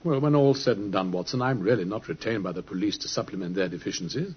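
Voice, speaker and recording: An actor playing a shrewd, commanding British gentleman detective in an old radio drama, precise and theatrical. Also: fairly low pitch, fast talker, a little hiss or hum, close to an everyday voice. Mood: reflective, warm. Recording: muffled vintage radio, music behind.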